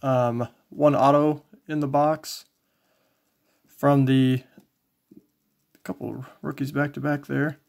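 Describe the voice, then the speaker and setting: Man, gravelly fry, casual unboxing commentary, folksy, low-key, thinking out loud. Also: level tone, takes it slowly, pauses often. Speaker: trading card collector and video host. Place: indoors, small home tabletop setup.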